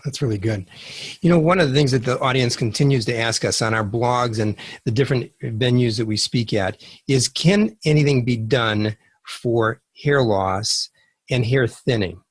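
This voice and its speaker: A man's voice: medium pitch, normal speed, breathy edge, no room sound. Dry, close-sounding male interviewer. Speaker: show host, interviewer. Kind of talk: scripted introduction and interview questions.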